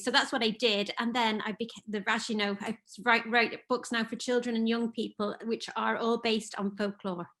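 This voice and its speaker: A woman's voice: a little high, fairly bright tone. A woman with a half English, half Irish accent, an author talking eagerly about her books in a remote interview. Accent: mixed English and Irish. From north of England. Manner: enthusiastic, storytelling. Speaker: children's author guest. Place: home in Ireland, remote call.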